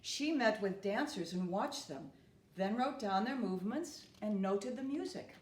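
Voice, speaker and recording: Slow, expressive woman storyteller in echoey room. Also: medium pitch, slight background noise. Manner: deliberate, expressive phrasing. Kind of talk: expressive children's storybook reading. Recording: roomy, echoing room.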